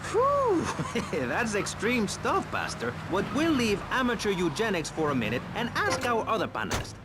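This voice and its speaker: An actor playing a flippant, excitable radio discussion host who moderates in a theatrical, rambling, mock-polite way. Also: male voice, high-pitched, moderate pace, very noisy. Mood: flustered, interjecting. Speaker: talk show host and moderator. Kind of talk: satirical public radio hosting.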